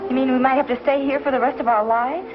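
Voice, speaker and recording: A wistful, restless young frontier wife played by an actress in a 1950s TV western. Her voice sits fairly high and longs for home. The old soundtrack is dull, with music clearly underneath. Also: fairly even intonation, fast talker, lightly acted. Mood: troubled. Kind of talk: 1950s television western acting.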